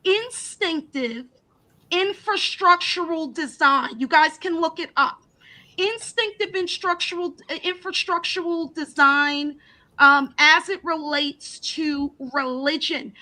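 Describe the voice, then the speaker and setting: Woman, high pitch, bright and forceful, loud projecting voice, insistent, many restarts. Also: rough recording. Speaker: caller. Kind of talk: heated debate on a live chat.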